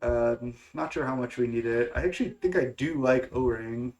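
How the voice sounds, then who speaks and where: A man's voice: medium pitch, moderate pace, a little mellow, poor audio. A young man with a stuffy, congested voice, casually talking through his card game choices and thinking aloud with lots of fillers. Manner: thinking aloud, hesitant with many fillers and restarts. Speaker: gaming content creator.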